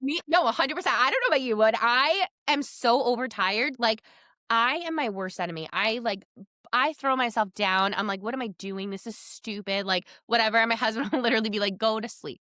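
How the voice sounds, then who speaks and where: A woman's voice: a little high, a little fast, pushed and bright, no echo, clean studio sound. A woman hosting a casual podcast chat, talking in a chatty, emphatic way and often saying "like". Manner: chatty, emphatic, peppers talk with "like". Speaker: podcast host.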